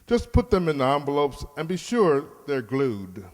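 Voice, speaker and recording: A man's voice: fairly high pitch, big pitch swings, moderate pace. Exasperated boss, wildly swooping voice acted by a man. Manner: sarcastic, impatient. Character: exasperated, brusque male office boss. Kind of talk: old-time radio comedy acting. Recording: echoey hall, long reverb.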